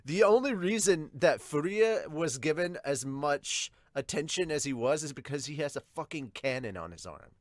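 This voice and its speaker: A man's voice: a little high, lively intonation, moderate pace, hardly any pauses, no echo. Rambling, blunt male podcast host, close dry sound. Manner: rambling, blunt, casually sweary. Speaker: co-host of an anime and manga podcast. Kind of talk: casual fan commentary and outro to viewers.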